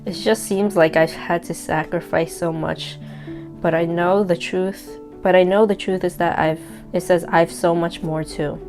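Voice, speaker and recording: A woman's voice: fairly low pitch, fairly even intonation, unhurried. A young woman in her twenties whose native language is Cantonese, reading her own old letter aloud and reacting to it in a candid, self-deprecating way. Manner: candid, reflective, self-deprecating with soft laughs. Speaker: vlogger. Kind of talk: casual reading of a personal letter. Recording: guitar music playing behind.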